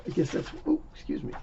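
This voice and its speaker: A man's voice: brisk, dark tone. A man reading a children's picture book aloud in an informal, interactive way, as if to young listeners. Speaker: volunteer storybook reader. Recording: dull lo-fi sound, faint background noise.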